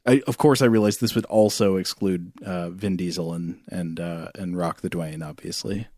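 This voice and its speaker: Man podcasting in a low, dry voice. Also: moderate pace, a little mellow, dry recording. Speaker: podcast co-host. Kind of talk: casual chat, scripted ad reads and listener mail.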